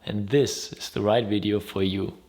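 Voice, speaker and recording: A man's voice: fairly low pitch, fairly even intonation, moderate pace, darkish tone. A man from Germany with a German accent, a native German speaker hosting a casual explainer vlog in a friendly, upbeat, conversational way. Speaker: YouTube host.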